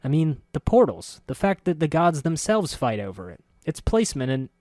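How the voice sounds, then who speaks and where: A man reading a story voices a curious, sharp-spoken middle-aged woman who talks in a quick, insistent way. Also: a little high, sing-song, no room sound, studio quality, pitched above the performer's own voice. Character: curious sharp-spoken woman, neither young nor old, newcomer.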